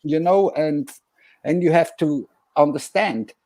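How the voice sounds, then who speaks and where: Man, from Switzerland, mellow dark voice, emphatic, repetitive phrasing, football talk, dry sound. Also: fairly high pitch, slow, dry recording. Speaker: guest, businessman and former football player. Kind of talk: animated opinion talk about football.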